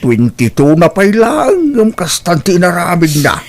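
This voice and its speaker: A man's voice: high-pitched, huge pitch swings, a little slow, vocal fry, exaggerated voice. A man playing a frank, dismayed older relative in a Filipino radio drama, with a disapproving manner.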